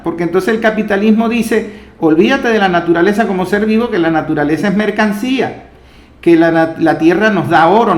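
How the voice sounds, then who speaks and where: A man hosting a radio talk, explaining reflectively and building emphatic lists. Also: high-pitched. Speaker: radio host and interviewer. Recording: echoey room sound.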